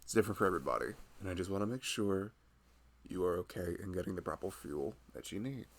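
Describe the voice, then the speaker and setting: Man acting a tender husband, low and creaky. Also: animated, fast talker. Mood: playful, concerned. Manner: soft, tender, fussing, playful. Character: big, caring, affectionate young husband of a pregnant wife. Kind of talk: intimate ASMR roleplay.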